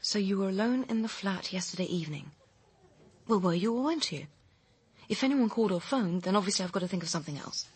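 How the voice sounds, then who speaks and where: An actress playing a cool, manipulative woman who insistently coaches her lover in a radio drama, with a husky, controlled voice. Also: mid-range voice, animated, fast talker. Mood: impatient, pressing.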